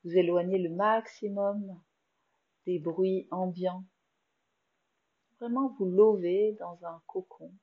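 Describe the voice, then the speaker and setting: Casting: French woman, meditation teacher, very slow calm delivery, slightly muffled lo-fi sound. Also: lowish voice. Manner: slow, soft, soothing guidance with long pauses. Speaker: yoga nidra teacher. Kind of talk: guided meditation.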